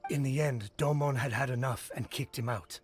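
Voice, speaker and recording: A man's voice: medium pitch, moderate pace, faint music behind, natural voice. A man playing a plain-spoken, disparaging villager in a video game, matter-of-fact in his delivery. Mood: disapproving.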